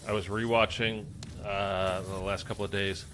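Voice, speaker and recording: A man's voice: on the low side, level tone, moderate pace, fairly bright tone, a little hiss or hum. A man casually chatting through a zombies game session, rambling with lots of fillers and sounding stuffy and congested. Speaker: gaming streamer. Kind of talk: casual gameplay commentary.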